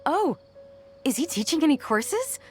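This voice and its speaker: A woman's voice: high voice, sing-song, measured pace, soft background music. A woman playing a curious, eager young female student in an anime-style game.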